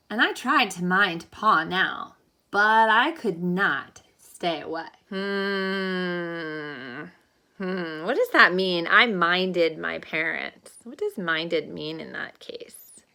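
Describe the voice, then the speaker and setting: Woman, elementary teacher, bright medium voice, animated read-aloud delivery with dramatic emphasis. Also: mid-range voice. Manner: encouraging, checks understanding with questions. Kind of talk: expressive picture-book read-aloud with teaching asides.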